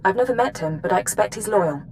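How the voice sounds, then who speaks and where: Brisk female mission controller played by an actress, mid-pitched, authoritative game briefing over background noise. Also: lively intonation, lightly acted, rough recording. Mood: businesslike. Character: brisk, businesslike female Imperial intelligence superior. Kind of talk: video game dialogue acting.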